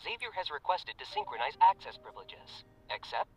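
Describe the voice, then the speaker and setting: Guy doing a smartwatch ai voice, formal n polite, highish and singsongy, electronic filter, sounds a bit distant. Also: huge pitch swings, fairly quick. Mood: impersonal, polite. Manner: formal, instructional. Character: polite, impersonal smartwatch system assistant. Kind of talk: scripted system announcements.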